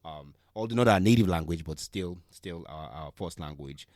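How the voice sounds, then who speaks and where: A man's voice: deep voice, lively intonation, moderate pace, darkish tone, no echo, studio quality. A man from Nigeria hosting a casual podcast chat, hedging and correcting himself as he goes. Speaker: podcast host.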